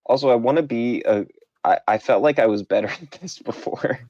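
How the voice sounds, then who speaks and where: A man who runs a tabletop game, chatting casually after a session in a halting, self-deprecating way with many restarts. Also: medium pitch, warm tone, dry recording. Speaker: game master. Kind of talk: casual post-game chat. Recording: muffled lo-fi audio.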